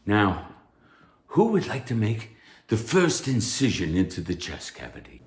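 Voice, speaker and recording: An actor playing a stern, pompous anatomy professor, speaking formally and like a lecturer in a theatrical read. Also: male voice, fairly low pitch, very animated, unhurried, roomy sound. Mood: formal lecturing. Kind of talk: theatrical table read of a stage musical.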